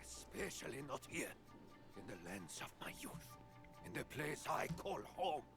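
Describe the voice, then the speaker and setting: An actor voicing a proud, defiant old clan leader who speaks sternly and with contempt, over game music. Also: male voice, mid-range voice, very animated, unhurried, gravelly. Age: older.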